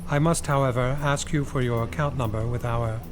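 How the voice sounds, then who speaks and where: An actor playing a polite, formal limousine chauffeur in an audio drama. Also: male voice, medium pitch, steady tone, brisk, background noise, lightly acted.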